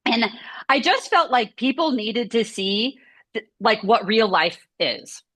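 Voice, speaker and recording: Woman studio owner, casual rambling chat, a little high-pitched, dry close sound. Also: animated, slow pace, a bit bright, dry recording. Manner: chatty, rambling, lots of "like" fillers. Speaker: scoliosis movement studio owner. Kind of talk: casual video chat conversation.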